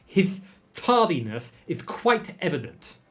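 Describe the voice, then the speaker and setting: A man voicing a pompous, self-important wizard lord with a voice higher than he imagines. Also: sing-song, slow pace, a bit bright, soft background music, heavily acted.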